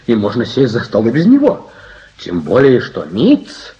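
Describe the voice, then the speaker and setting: A man's voice: mid-range voice, wildly swooping pitch, unhurried, warm tone, slight background noise. An actor playing a polite young nephew, courteously and patiently coaxing his elder in radio drama style. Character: polite, gently prodding young nephew, engaged to marry. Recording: dull archive radio recording.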